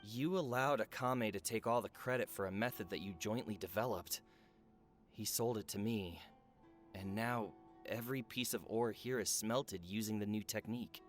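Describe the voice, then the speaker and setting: Guy doing serious forge boss from a game, low voice, formal, earnest, measured, soft music behind. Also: lightly acted. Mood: measured, appreciative. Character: grave, principled adult male forge overseer. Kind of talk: video game voice acting.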